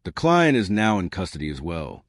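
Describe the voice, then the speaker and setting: A male narrator reads a scripted tale in a low voice. His delivery moves up and down with lively intonation. The sound is dry and close, with no room around it. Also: moderate pace, no room sound. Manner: lively storytelling, shifting pitch for quoted characters.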